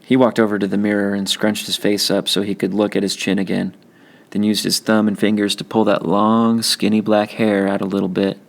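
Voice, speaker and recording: A man's voice: lowish voice, level tone, fairly quick, gravelly. A man reading a children's novel aloud as scripted audiobook narration. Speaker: audiobook reader.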